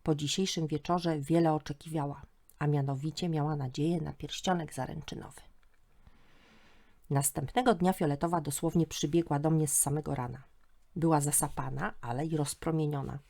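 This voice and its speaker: Woman reading her funny story podcast, kinda low voice, dry and sardonic. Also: fairly even intonation, normal speed, creaky, dark tone. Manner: wry, sardonic. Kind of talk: humorous first-person scripted narration.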